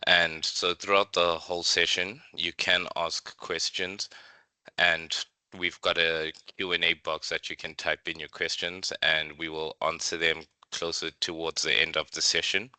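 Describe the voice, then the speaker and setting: Very deep, crisp man. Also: bright, forceful tone, no echo. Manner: formal, polite. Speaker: webinar host. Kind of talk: scripted welcome and housekeeping for an online session.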